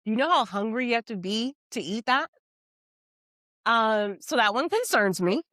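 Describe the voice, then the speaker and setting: A woman with a Texan accent chatting casually as a podcast co-host and telling stories in an animated way. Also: a little high, moderate pace, no room sound, studio quality. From Texas, United States. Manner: animated storytelling, quoting people in dialogue. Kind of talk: casual chat.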